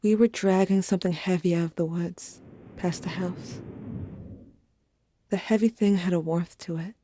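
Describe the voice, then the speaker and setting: A woman playing a weary, somber narrator, telling a first-person story in measured audio drama narration. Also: fairly low pitch, steady tone, dark tone, natural voice. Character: somber, weary narrator recounting haunted memories. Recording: faint ambient noise.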